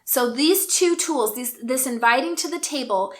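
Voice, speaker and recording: A woman in her forties telling a personal story in an earnest, reflective and gentle way. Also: high-pitched, normal speed. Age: 40s. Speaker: speaker and coach.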